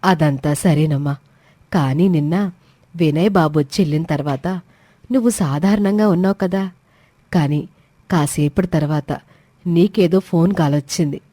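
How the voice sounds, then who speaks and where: A woman voicing a concerned parent who earnestly and gently questions a grown daughter in a story. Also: lowish voice, no echo, natural voice. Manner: earnest, slow pleading questioning.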